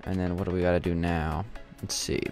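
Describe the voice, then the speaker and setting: Young male gaming host, low voice, a touch raspy, hesitant, unhurried chatter. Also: level tone, soft background music. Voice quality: slightly raspy from yelling. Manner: thinking aloud, hesitant, many fillers. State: sore throat. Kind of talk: casual gameplay commentary.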